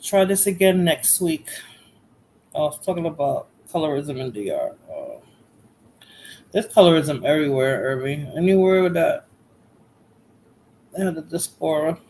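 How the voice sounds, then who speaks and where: A woman's voice: deep voice, sing-song, measured pace, long pauses, warm tone, a little hiss or hum, poor audio. A woman with a Bronx accent hosting a casual livestream chat, laughing often and repeating herself. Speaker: livestream host.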